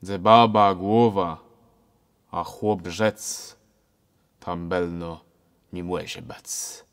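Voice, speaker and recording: A man acts as an older Kashubian village priest in a radio play, jovial and preachy. He delivers folksy advice very slowly, in a sing-song sermon manner with a Kashubian accent. His words ring in a long churchlike reverb. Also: deep voice, fairly even intonation, smooth, full character voice. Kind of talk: amateur radio-play acting. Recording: very reverberant hall-like sound.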